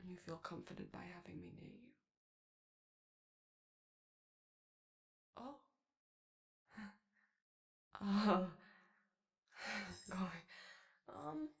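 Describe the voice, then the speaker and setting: A woman voicing an anxious, gentle male animatronic daycare robot in ASMR roleplay, with a strained, raspy voice and hesitant, apologetic speech. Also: lowish voice, exaggerated voice. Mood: awkward, gentle. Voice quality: strained, raspy, creaky, as if voice lost from yelling. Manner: hesitant, nervous, stammering, apologetic. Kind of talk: ASMR roleplay comfort audio.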